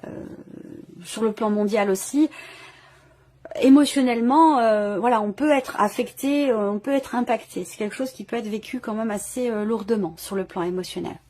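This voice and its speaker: A woman's voice: a little high, normal speed, mellow. A woman giving an improvised tarot reading as host, feeling her way through phrases and often rewording as she goes. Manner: hesitant, searching phrasing with repeated rewording. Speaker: tarot reader hosting a video reading. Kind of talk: intuitive tarot reading, improvised spoken commentary.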